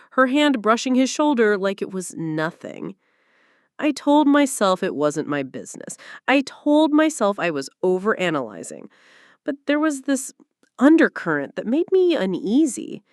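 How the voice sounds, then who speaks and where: Expressive woman narrating a confessional story. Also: sits high, moderate pace, no echo, clean studio sound. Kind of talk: dramatic first-person story reading.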